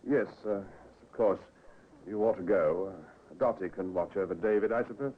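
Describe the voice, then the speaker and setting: A man's voice: sits low, normal speed, close to an everyday voice. An actor playing a fretful, proud, sickly husband in his fifties in 1950s radio drama, formal and hesitant. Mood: subdued. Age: 50s. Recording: tinny, hissy old radio recording.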